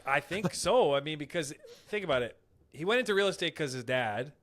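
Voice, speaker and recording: A man's voice: fairly high pitch, animated, fairly quick, fairly bright tone. A young man co-hosting a podcast, rambling through crude stories in casual banter and acting out quoted voices. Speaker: podcast co-host.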